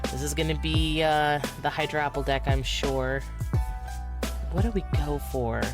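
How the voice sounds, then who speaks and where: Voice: mid-range voice, steady tone, measured pace, loud music behind the voice. A streamer with a cold, stuffy and nasal with a sore throat, rambling casually through a card game session. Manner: rambling, many fillers, talks through moves. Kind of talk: casual gameplay commentary.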